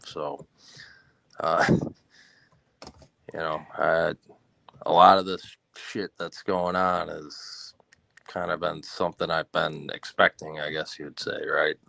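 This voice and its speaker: Man, very deep, gravelly, flat monotone delivery, dry sound, remote guest. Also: no echo. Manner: slow, monotone, laid-back. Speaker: guest caller. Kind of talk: casual chat.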